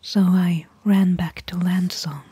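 A woman playing a caring, worried fantasy expedition companion in a soft, breathy, intimate ASMR whisper. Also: fairly low pitch, steady tone, no room sound, full character voice. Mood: hushed recounting. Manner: intimate, gentle, slow and hushed. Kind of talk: soft-spoken ASMR roleplay.

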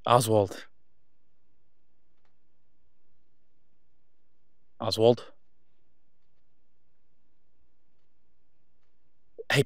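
A man's voice: mid-range voice, sharp tone, husky, dry recording, exaggerated voice. A man playing a brash, sarcastic masked vigilante with dry, taunting banter in an audio roleplay. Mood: weary, irritated.

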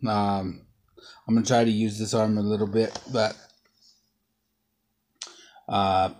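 A man in his thirties talks straight into a close camera in his living room. He is bruised and sore, and he rambles through a casual show-and-tell haul in an everyday middle-range voice, with lots of ums and uhs. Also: medium pitch. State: bruised and sore after a fall. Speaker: vlogger. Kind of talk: casual haul and unboxing vlog. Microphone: camera close up at low angle.

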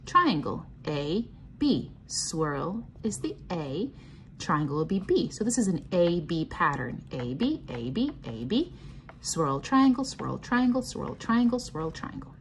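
A woman reading a picture book aloud to children and explaining it simply, in a sing-song, teacherly way with clear enunciation. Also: lowish voice, a little hiss or hum. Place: indoors, at a table.

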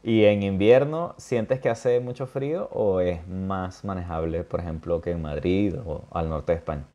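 A man hosting a casual interview, talking thoughtfully with many pauses, fillers and little check-ins. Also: low-pitched, warm tone. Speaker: interview host. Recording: dull lo-fi sound.

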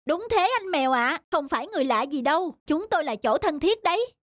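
A woman voicing a timid, trusting male rooster in a children's animated folktale, naive and exclaiming. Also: sits high, a little fast, no echo, clean studio sound. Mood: friendly.

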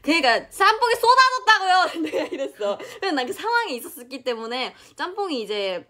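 A young woman from Seoul telling a story in casual live-stream chat, animated and giggly, acting out quotes, recorded on a phone in a small room. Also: extremely high pitch, brisk. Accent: Seoul Korean. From Seoul, South Korea. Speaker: idol live-stream host. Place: small indoor room, plain wall. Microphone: phone camera at arm's length.

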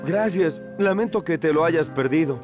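An actor playing a cocky, suave young hero in a cartoon, breezy, teasing and self-assured. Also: male voice, a little high, normal speed, over music, lightly acted. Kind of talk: animated cartoon dubbing.

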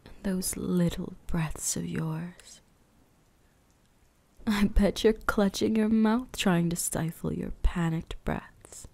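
Slow, breathy vampire huntress played by a woman. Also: lowish voice, fairly even intonation, mellow, heavily acted. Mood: predatory, taunting. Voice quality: breathy, often whispered. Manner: slow, teasing, menacing. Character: ancient female vampire huntress, predatory, possessive, seductive. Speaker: voice actress. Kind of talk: ASMR roleplay, close breathy whispering.

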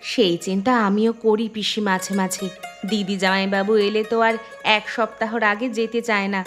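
A woman voicing a gentle, anxious village mother in a cartoon fairy tale, polite and homely. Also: mid-range voice, little pitch movement, normal speed, faint music behind, close to an everyday voice. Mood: worried. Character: gentle, worried village mother, hospitable and poor.

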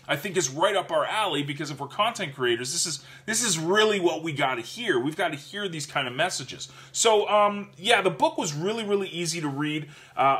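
Thirties man, bright and forceful tone, chatty vlog reviewer who restarts and repeats words. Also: on the high side. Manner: animated, gestures a lot, repeats words while thinking. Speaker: book reviewer. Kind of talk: casual book review vlog. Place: home office with bookshelves, indoors. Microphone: webcam at desk.

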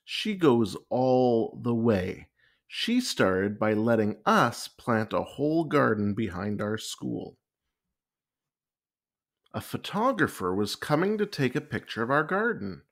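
Male storybook narrator, unhurried, widely swinging intonation, expressive delivery for kids. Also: mid-range voice. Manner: expressive, deliberate storytelling for children. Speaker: story time reader. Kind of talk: scripted narration, children's picture book read-aloud.

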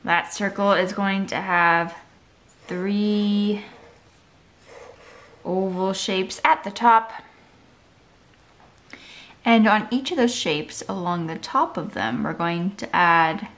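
Slow-paced woman teaching drawing. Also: medium pitch, fairly bright tone. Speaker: art teacher. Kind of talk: step-by-step drawing tutorial for kids.

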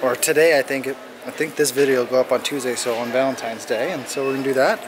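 A man's voice: medium pitch, steady tone, fast talker, background noise. A man in his thirties chatting casually to a handheld action camera, tired and rambling with fillers. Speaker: vlogger. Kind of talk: casual vlog chat. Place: indoors, store and then home. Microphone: action camera held at arm's length.

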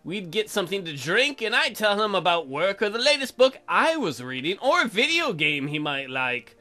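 A man's voice: high-pitched, very animated, normal speed, bright, forceful tone, soft background music. A man giving comedic let's play commentary, reading game text aloud in a goofy, crude, improvised way. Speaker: gaming video host.

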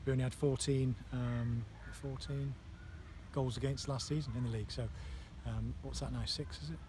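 A man, a football manager giving a post-match interview outdoors at night, halting with many fillers. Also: medium pitch, fairly even intonation. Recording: outdoor noise behind voice.

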